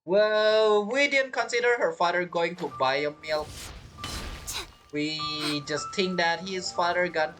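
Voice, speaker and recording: Animated male gamer commentating. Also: high voice, low-quality recording. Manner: chatty, musing aloud. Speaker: gaming streamer. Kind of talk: casual game playthrough commentary.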